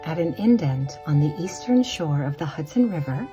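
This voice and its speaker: A woman telling a spooky old tale as scripted narration, theatrical and deliberate, drawing out words for drama. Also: sits low, lively intonation, dull audio. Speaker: storyteller, narrator. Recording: music behind the voice. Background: instrumental music.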